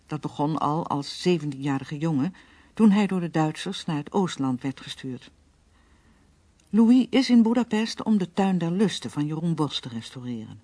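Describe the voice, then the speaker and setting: Narrator for scripted radio play: dark, slightly raspy tone, very dry sound. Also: mid-range voice, expressive, normal speed, no echo.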